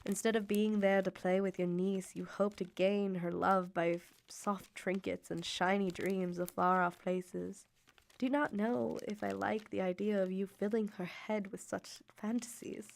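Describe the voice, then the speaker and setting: An actress playing a wounded, reproachful young Victorian mother in a radio drama, reading letters in formal, measured, emotive diction. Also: mid-range voice, level tone, vocal fry. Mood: reproachful, resigned.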